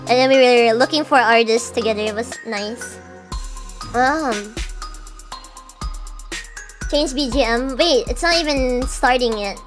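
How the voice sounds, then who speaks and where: A woman's voice: on the high side, measured pace, long pauses. A young woman streamer chatting casually with her viewers, answering chat with a chatty, self-mocking manner. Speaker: VTuber streamer. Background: faint background music.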